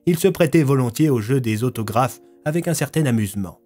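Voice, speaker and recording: A man's voice: mid-range voice, animated, a little fast, warm tone, faint music behind. A man narrating a scripted audio fiction story.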